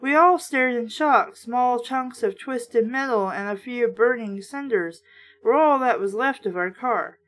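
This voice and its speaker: A woman with a fairly high voice hosts a read-aloud of a children's book. Her narration is casual and conversational, with a sardonic, blunt edge to her commentary. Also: unhurried, a bit bright. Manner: sardonic, blunt, swearing asides. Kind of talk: storybook narration with casual asides.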